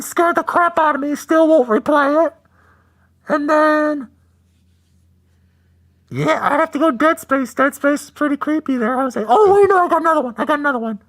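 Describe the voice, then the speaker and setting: A woman co-hosting a casual livestream podcast, putting on a strained, shouty costume voice while she loses her voice. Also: high-pitched. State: losing her voice. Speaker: podcast co-host.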